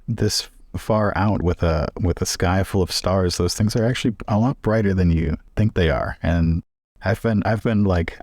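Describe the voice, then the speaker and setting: A man's voice: deep voice, darkish tone, dry recording, clean studio sound. A man playing a tabletop game, casually describing what his character does.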